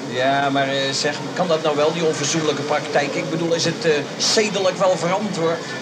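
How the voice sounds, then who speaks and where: Een acteur die in een komisch hoorspel een simpele, nauwgezette oude uitdrager speelt, babbelend en onverzorgd sprekend. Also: male voice, fairly high pitch, steady tone, normal speed, close to an everyday voice. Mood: hesitant, earnest. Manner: folksy, unpolished speech, chatty. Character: simple, scrupulous old male junk dealer. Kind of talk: comic drama acting. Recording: very noisy old recording.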